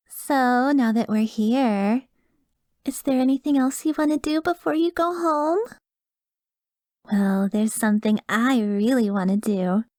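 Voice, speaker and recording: A woman's voice: fairly high pitch, expressive, takes it slowly, pauses often, a little mellow, no echo, studio quality, voice pushed up. A woman acting a gushing, flirtatious date in an ASMR roleplay, with sweet, exaggerated delight.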